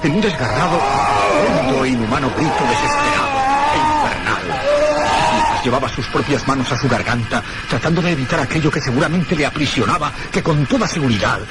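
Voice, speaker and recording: An actor playing a haunted, anguished survivor in a first-person horror radio drama, with dramatic literary phrasing and pauses. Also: male voice, on the high side, sing-song, heavy background noise. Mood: mounting horror. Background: music.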